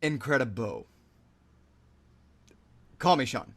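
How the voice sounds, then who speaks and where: An actor playing a cocky, easygoing magician who produces shows, in a conversational table read with a brash, teasing, persuasive way of talking. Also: male voice, mid-range voice, very animated, takes it slowly, slight background noise. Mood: breezy, persuasive.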